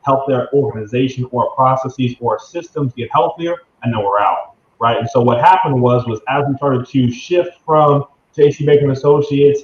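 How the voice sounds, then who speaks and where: A man in his early twenties giving confident, salesy explanations as an interview guest, often tagging phrases with "right?". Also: medium pitch. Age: 20s. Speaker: interview guest, young business executive. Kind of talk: conversational interview answer, explanatory pitch. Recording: dull lo-fi sound, roomy echo, faint noise.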